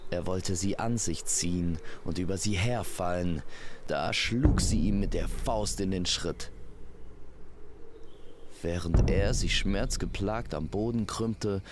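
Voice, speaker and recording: A man narrating a scripted fairy tale in a measured, poetic manner. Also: low-pitched, background noise. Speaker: storyteller.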